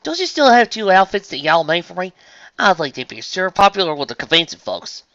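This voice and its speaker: A man's voice: sits high, very animated, brisk, a bit bright, dry recording, lo-fi sound, pitched above the performer's own voice, exaggerated voice. A man voicing a plain-spoken, hearty young female farm pony in a story, with a folksy Southern US twang and drawl.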